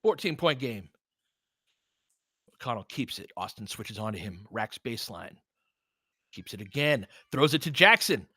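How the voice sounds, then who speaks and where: Excitable man calling basketball, wildly varied pitch. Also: a little slow, long pauses, breathy edge, no room sound, studio quality. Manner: excitable, whooping and swearing, partisan. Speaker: play-by-play commentator and host. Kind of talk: informal fan live basketball commentary.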